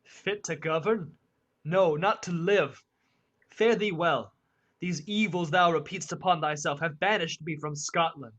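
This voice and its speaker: A man's voice: a little high, unhurried, fairly bright tone, lo-fi sound. A young man playing a passionate, grieving nobleman bent on revenge in a radio Shakespeare play. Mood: anguished. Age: young adult.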